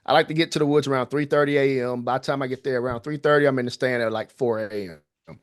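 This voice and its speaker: A man guest on a podcast, telling a personal story in an easygoing, drawn-out way. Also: medium pitch, fairly even intonation. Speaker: guest, filmmaker. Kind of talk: casual storytelling.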